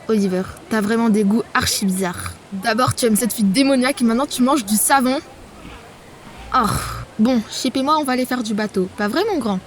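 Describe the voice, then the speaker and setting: Woman voicing a high, blunt young adventurer. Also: brisk, lightly acted. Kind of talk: French animated series fan dub.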